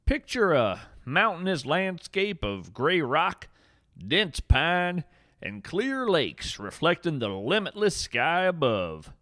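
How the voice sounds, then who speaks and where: A male podcast narrator telling scripted tales in a theatrical, solemn way. He speaks slowly and lets his pitch rise and fall widely, giving each line a poetic weight. The recording is close and dry. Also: sing-song, sharp tone, dry recording. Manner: theatrical, poetic, solemn delivery of whimsical material.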